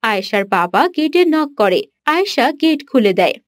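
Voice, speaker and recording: A woman's voice: fairly high pitch, sing-song, moderate pace, no room sound, clean studio sound. A woman giving scripted narration for a cartoon story.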